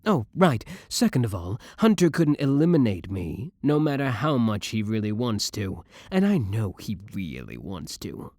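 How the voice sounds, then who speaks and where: A man's voice: lowish voice, very animated, a little slow, few pauses, darkish tone, no echo, clean studio sound, heavily acted. A young man acting in an audio roleplay as a clingy, obsessive boyfriend, theatrically doting and smug, scornful of his rival.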